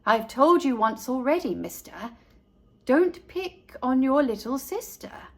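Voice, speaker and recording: A woman voicing a soothing yet firm storybook mother, gently scolding and indulgent. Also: high voice, expressive, unhurried, heavily acted.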